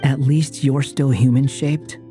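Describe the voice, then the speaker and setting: An actor playing an aloof, sardonic young man in a video game, with a dry, deadpan delivery. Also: medium pitch, unhurried, over music, natural voice. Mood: dry, impatient.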